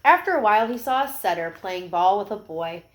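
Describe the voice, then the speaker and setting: A woman reads a picture book aloud for children. She narrates at a mid pitch and steps out of the story to talk directly to young listeners. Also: lively intonation, a little fast.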